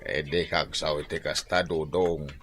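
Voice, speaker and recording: A man's voice: a bass voice, steady tone, unhurried, a bit bright, faint music behind. A man acting an older, teasing Filipino driver with an Ilocano accent in a comedy radio drama. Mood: teasing, grumbling. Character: older male jeepney driver, teasing confidant of a lovesick friend.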